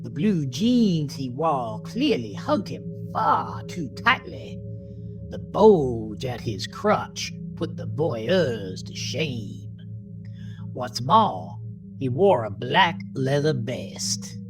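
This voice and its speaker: A woman narrating a horror story in a deliberate, theatrical manner with dramatic pauses. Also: extremely low pitch, sing-song. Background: music bed.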